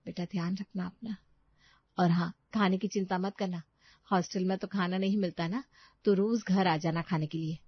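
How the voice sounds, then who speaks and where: A woman's voice: lowish voice, steady tone, normal speed, slightly rough, dry recording. An affectionate, trusting elder sister from a cartoon story, played by a woman. She speaks with care, on a dry, slightly muffled track.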